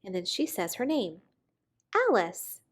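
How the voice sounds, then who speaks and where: A woman reading a children's picture book aloud expressively, with dramatic pauses and emphasis on key words. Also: medium pitch, very animated. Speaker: storybook reader.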